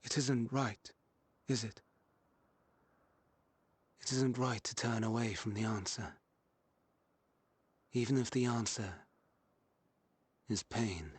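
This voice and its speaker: Earnest, slow-spoken, troubled scholar. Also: male voice, medium pitch, fairly even intonation, slightly rough. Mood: anguished. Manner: slow, halting, heartfelt. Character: earnest, troubled, idealistic male ancient scholar. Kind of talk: video game cutscene acting, earnest formal speech.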